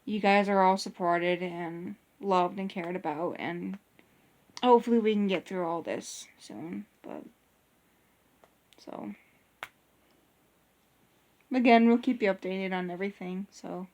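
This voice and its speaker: A woman's voice: mid-range voice, measured pace, pauses often, darkish tone. A young woman chatting casually in a couple's vlog, rambling along with restarts. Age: young adult. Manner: rambling, many restarts and fillers. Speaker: vlogger. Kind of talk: casual chat.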